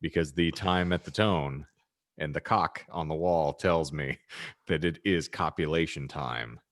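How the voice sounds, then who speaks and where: A man with a very deep voice hosts a casual comedy podcast. He talks in wordy, mock-grand phrases, always joking. The sound is dry, with very little room in it. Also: measured pace, fairly bright tone, dry recording. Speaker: podcast host.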